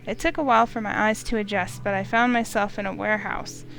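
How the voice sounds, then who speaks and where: A woman reading a visual novel aloud in first person, steady and expressive, with small slips. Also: mid-range voice, fast, vocal fry, faint music behind, rough recording. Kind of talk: first-person story narration.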